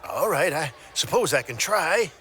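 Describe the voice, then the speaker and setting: Male inventor puppet, voiced by a woman: swooping, bright, excitable, theatrical, faint background noise. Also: low voice, normal speed. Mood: puzzled, muttering. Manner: exclamatory, theatrical. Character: excitable male inventor puppet, Mister Olson, absent-minded and greedy. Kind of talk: church puppet show acting.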